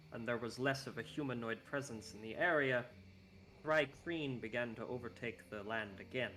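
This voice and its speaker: Man doing a scholarly desert guide, put-on icelandic accent, bright tone, formal and explanatory, faint background hiss. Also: medium pitch, lightly acted. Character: scholarly male desert guide, courteous and measured.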